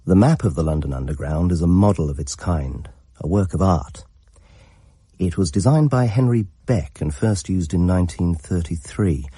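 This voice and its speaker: An actor playing a scholarly narrator, delivering scripted radio drama narration in a measured, precise manner. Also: male voice, low voice, lively intonation, warm tone, breathy edge, no echo, clean studio sound.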